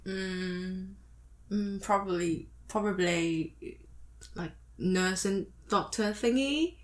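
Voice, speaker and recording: Woman, slow pace, hesitant, giggly, non-native English, echoey room. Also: mid-range voice. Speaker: guest. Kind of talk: casual chat.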